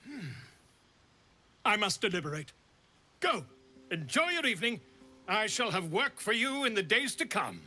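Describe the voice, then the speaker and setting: A man's voice: sits high, big pitch swings, unhurried, long pauses, bright, forceful tone, full character voice. A man voicing a pompous, theatrical bard and scholar in a fantasy game, with florid, verbose delivery. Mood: jovial, grandiose. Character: flamboyant, pompous bard and self-styled scholar, grandly theatrical.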